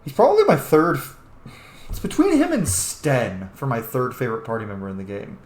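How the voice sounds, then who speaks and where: A man's voice: mid-range voice, wildly swooping pitch, a little fast, a little mellow, roomy sound. A man hosting a game playthrough, commenting casually in an opinionated, informal way with plenty of swearing. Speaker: gaming video host, player.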